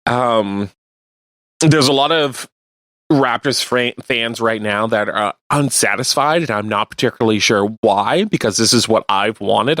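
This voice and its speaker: Low-voiced man, casual sports chat. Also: fairly bright tone, no echo. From Canada. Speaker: radio co-host.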